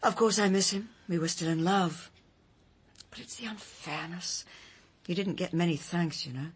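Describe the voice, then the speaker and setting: An actress playing a grieving, wistful English widow in a radio drama, with a husky voice. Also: female voice, lowish voice, normal speed. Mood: grieving, indignant.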